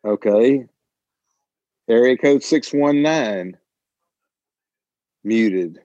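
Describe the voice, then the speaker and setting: On a slightly muffled, dry conference line, a man moderates a group informally. His voice is medium in pitch and his delivery is easygoing and a little halting. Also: mellow, dry recording. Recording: dull, dry conference call.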